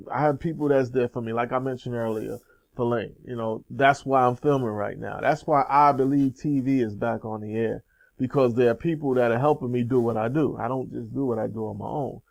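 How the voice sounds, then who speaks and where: A man's voice: medium pitch, a little fast, gravelly. A man hosting a casual interview show, talking in an upbeat, loose, promotional way. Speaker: show host.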